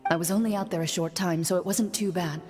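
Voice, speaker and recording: A woman's voice: lowish voice, fairly even intonation, fast talker, a little mellow, natural voice. An actress voicing a cool, composed woman in a video game, understated and businesslike. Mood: cool, matter-of-fact. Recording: game music behind the voice.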